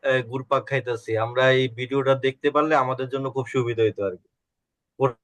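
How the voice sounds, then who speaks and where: A man's voice: mid-range voice, flat delivery, fast talker, a bit bright. A man with a Bangladeshi Bengali accent chatting casually as a participant in an online group. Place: online group video call.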